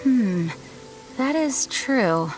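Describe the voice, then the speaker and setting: A dutiful female knight commander from a video game. She sounds composed, formal and earnest in a fairly high voice, with clear music underneath. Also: animated, very slow, rough recording. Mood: concerned, composed.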